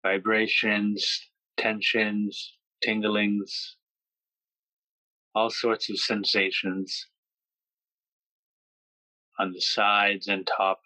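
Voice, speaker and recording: Even-toned man guiding a meditation. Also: lowish voice, no room sound, rough recording. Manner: slow, gentle, pausing between phrases. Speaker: meditation guide. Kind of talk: guided yoga nidra meditation.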